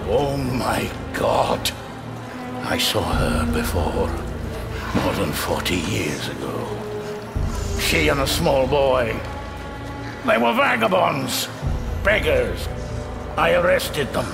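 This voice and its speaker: An actor playing an older constable, frightened and broken by guilt, speaking in a halting, anguished way in an audio drama. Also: male voice, mid-range voice, sing-song, vocal fry, full character voice. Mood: dread. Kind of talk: full-cast audio drama acting. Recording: dramatic music behind.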